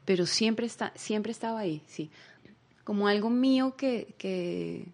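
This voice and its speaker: A woman's voice: medium pitch, unhurried, darkish tone. Thoughtful Colombian woman from Bogotá. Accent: Bogotá Colombian Spanish. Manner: thoughtful, searching for words, frequent fillers. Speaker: guest. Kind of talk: reflective conversational interview.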